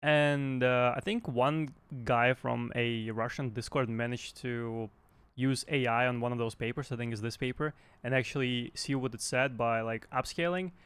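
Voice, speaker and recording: A man hosts an unscripted gaming news update in a casual register. His voice sits at an average pitch and he speaks haltingly, feeling his way through sentences. The sound is dry, with no room around it. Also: fairly even intonation, fairly bright tone, smooth, no room sound. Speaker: YouTube game news host.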